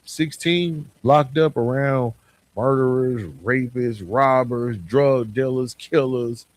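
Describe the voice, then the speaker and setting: A man's voice: medium pitch, slow. A man speaking informally and earnestly as a podcast host, reflecting solo in a conversational way.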